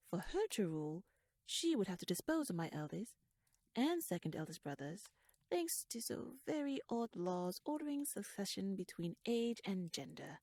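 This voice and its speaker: Casting: actress as wry, scheming royal lady for ASMR roleplay; husky, breathy voice, formal and wordy, very close dry sound. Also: mid-range voice, dark tone, no room sound. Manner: wordy, formal, rhetorical, musing. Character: shrewd, talkative, scheming royal noblewoman, playfully wry. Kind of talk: ASMR audio roleplay monologue addressed to the listener.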